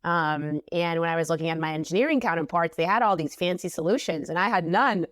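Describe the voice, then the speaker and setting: A woman's voice: fairly low pitch, fast talker, no room sound, studio quality. A woman in her thirties from Israel, answering interview questions in a chatty way with many ums, over an earphone mic on a video call from a home office. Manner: chatty, frequent ums and restarts. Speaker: guest, company CEO.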